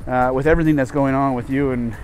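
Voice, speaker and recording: A man's voice: medium pitch, steady tone, fast talker, a little mellow, a little hiss or hum. A man in his forties teaching English in a casual, explanatory way through scripted conversation.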